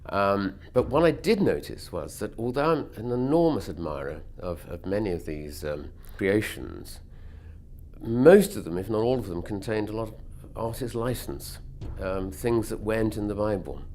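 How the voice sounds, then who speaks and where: Low-voiced man explaining. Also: lively intonation, moderate pace, darkish tone. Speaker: artist. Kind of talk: interview talk.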